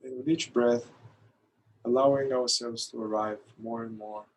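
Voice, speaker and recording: A man leading a guided meditation in a calm, gentle manner, pausing between short phrases. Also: mid-range voice, a little hiss or hum, low-quality recording. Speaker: meditation guide, somatic therapist.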